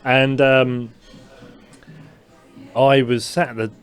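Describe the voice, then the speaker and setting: A young man with a mild stutter who co-hosts a podcast, telling a personal story in casual chat with many fillers and halting restarts. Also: medium pitch, little pitch movement, clear, resonant tone. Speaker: podcast co-host.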